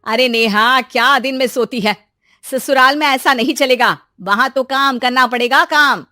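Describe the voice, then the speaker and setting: A woman playing a brisk, scolding middle-aged mother in an animated story. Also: high-pitched, no echo, studio quality, soft background music. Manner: loud, nagging.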